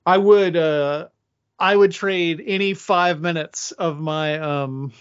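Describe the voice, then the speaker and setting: A man's voice: on the high side, slow, clear, resonant tone, highs cut off. A man hosting a podcast, moderating a casual academic discussion.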